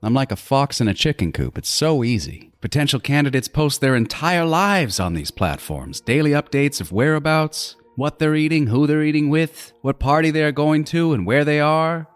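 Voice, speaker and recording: A man's voice: fairly high pitch, lively intonation, moderate pace, hardly any pauses, clear, resonant tone, no echo, close to an everyday voice. An actor playing a meticulous, self-styled artist serial killer in a horror audio drama, savoring his words theatrically with swooping emphasis. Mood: smug, sly. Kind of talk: first-person horror audio drama monologue.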